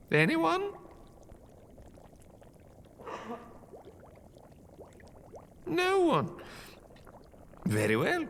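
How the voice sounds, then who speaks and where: A man's voice: fairly high pitch, creaky, fairly bright tone, exaggerated voice. A man playing a stern, exacting potions professor at a magic academy, pedantic and scolding, in a scripted fantasy audio roleplay. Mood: exasperated, scolding. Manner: pedantic, scolding lecturer with deliberate phrasing. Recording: long hall reverb, faint noise.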